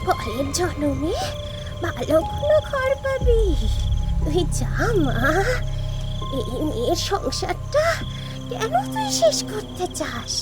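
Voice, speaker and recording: A woman's voice: extremely high pitch, sing-song, takes it slowly, over loud music, full character voice. A woman playing an old village exorcist with a rural Bengali accent in a horror audio drama, coaxing in a sing-song incantation. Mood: coaxing, pleading. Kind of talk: horror audio drama acting, chanted folk rhyme.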